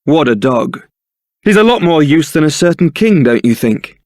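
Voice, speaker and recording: A man's voice: a little high, sing-song, moderate pace, no room sound. A man playing a suave, sardonic young templar knight and roguish ladies' man in a fantasy video game, with a dry, theatrical delivery. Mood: wry, teasing.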